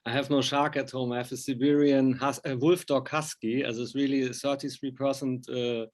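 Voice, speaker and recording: A man asking casual interview questions in halting, English. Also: mid-range voice, flat delivery. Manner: halting, non-native English phrasing.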